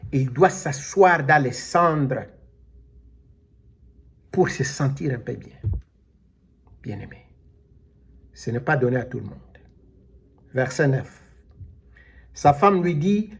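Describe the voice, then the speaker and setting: A man preaching a sermon, slow and emphatic, calling out alleluia as he explains scripture. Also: fairly high pitch, breathy edge. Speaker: pastor.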